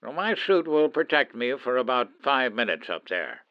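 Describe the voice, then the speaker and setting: A man's voice: medium pitch, big pitch swings, moderate pace, no echo, lo-fi sound, lightly acted. A man playing an authoritative, protective middle-aged father and officer in an old-time radio drama, urgent and theatrical. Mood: determined. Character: commanding, protective father, suspicious military officer.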